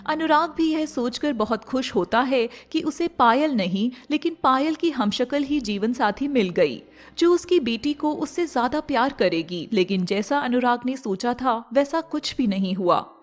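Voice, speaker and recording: High-pitched woman narrating a moral story. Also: lively intonation, a little slow. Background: faint music.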